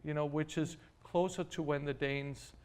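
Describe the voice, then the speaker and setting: A man who grew up in Denmark, interviewed about his business, with a voice pitched a bit higher than most men's. He walks through his points one step at a time, circling back with restarts and fillers as he goes. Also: steady tone, normal speed, clean tone. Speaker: startup founder, guest. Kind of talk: interview answers.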